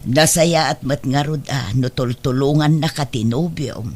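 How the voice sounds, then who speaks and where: A woman's voice: extremely low pitch, measured pace, a little mellow. A woman playing a worried, protective older mother in a radio drama, with an admonishing, motherly delivery. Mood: concerned.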